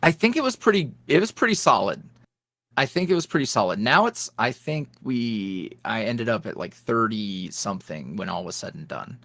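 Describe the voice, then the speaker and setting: A man's voice: lowish voice, big pitch swings, normal speed, a bit bright, dry recording. A man being interviewed as a guest, chatting casually and telling rambling stories with frequent laughs. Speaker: ASMR roleplay creator, podcast guest.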